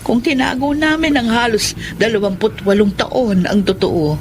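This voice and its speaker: A woman's voice: a little high, moderate pace, very noisy, lightly acted. A woman playing an anxious middle-aged adoptive mother, earnest and dramatic, in a Tagalog radio drama. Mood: remorseful, solemn.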